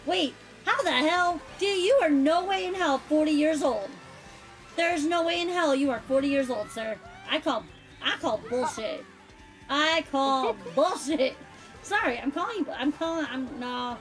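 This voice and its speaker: A woman's voice: sits high, a little slow, soft background music, rough recording. A woman in her thirties chatting casually with friends while streaming a game, filling her talk with "like". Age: 30s. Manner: chatty, fills speech with "like". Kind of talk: casual chat while gaming.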